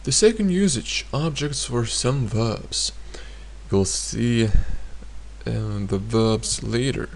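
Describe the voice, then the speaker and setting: A man teaching a grammar lesson in a hesitant way, pausing often and repeating each example sentence. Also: mid-range voice, vocal fry. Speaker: language teacher.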